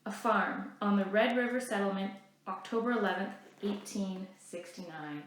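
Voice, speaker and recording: A woman's voice: mid-range voice, moderate pace, a little hiss or hum. A woman narrating a graphic novel aloud as a library storyteller. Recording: very echoey, reverberant room.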